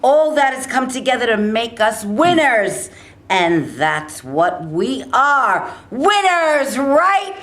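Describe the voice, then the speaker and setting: A woman playing a stubborn, proud male college football coach in a staged reading, with an emphatic, deliberate delivery. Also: fairly high pitch, sing-song, a bit bright, heavily acted. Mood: rousing.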